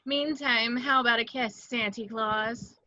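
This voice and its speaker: A woman's voice: on the high side, fairly even intonation, measured pace, sharp tone, muffled recording. A woman acting a vengeful, seductive catlike antiheroine in a superhero table read, with dramatic taunts. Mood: taunting. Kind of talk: amateur table read of a superhero film script.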